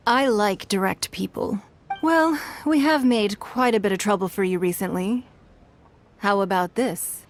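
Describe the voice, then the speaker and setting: A woman voicing a poised, shrewd female ruler in a fantasy video game, speaking in a formal, composed and measured manner. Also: mid-range voice, faint music behind, lightly acted. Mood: obliging. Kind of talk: video game cutscene voice acting.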